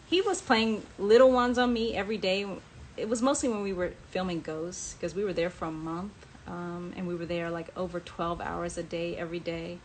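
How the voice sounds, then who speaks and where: Low-voiced woman chatting over background noise. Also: steady tone, moderate pace. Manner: chatty, acts out quoted dialogue. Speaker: author sharing personal memories on a livestream. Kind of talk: casual anecdotal storytelling. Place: live social media stream.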